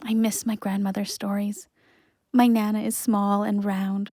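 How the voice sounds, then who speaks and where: A young woman playing a grieving imprisoned student in an audio play, quietly telling her story. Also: mid-range voice, flat delivery, unhurried, dry recording, studio quality, natural voice. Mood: wistful, grieving. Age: young adult.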